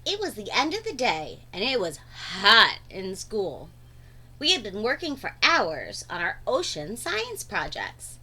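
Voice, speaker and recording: A woman reading a storybook aloud to children in an expressive, dramatic way, stretching words for emphasis. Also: mid-range voice, a little slow, breathy edge.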